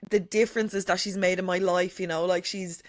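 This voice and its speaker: A woman's voice: fairly low pitch, fairly even intonation, a little fast, dry recording, studio quality. A woman talking as a podcast guest, emphatic and candid, swearing freely and leaning on "like" and "you know". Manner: says she has a strong voice. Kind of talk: candid conversational chat.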